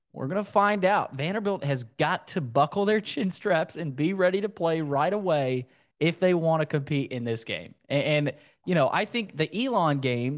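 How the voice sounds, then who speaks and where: A man co-hosting a sports podcast, talking casually and conversationally about an upcoming game. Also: on the high side.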